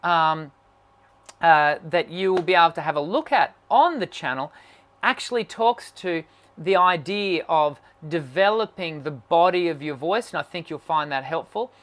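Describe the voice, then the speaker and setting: Man, high natural voice, singing coach, casual live stream, musing, reads out comments. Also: lively intonation, moderate pace, a bit bright. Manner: musing, reads viewer comments aloud, many fillers and restarts.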